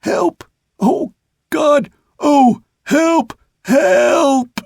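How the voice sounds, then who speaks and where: A man's voice: very high-pitched, drawn-out words, vocal fry, darkish tone, no echo, clean studio sound, pitched above the performer's own voice, heavily acted. A man voicing a terrified sailor in a sea-story audiobook, screaming and gasping for help. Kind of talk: audiobook character voice.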